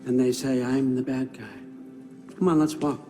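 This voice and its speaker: A male actor performs a scheming old god from a cinematic game. He talks in a mellow, folksy way, wry and coaxing but used to command. Soft music plays behind him. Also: medium pitch, steady tone, a little slow, lightly acted. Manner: wry, persuasive, conversational. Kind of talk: cinematic video game acting.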